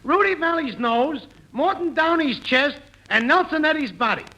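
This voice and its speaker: A man's voice: very high voice, expressive, normal speed, bright, forceful tone, heavily acted. A man playing a brash, wisecracking working-class neighbor, blunt and slangy, in 1940s radio comedy. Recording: noisy vintage 1940s radio broadcast.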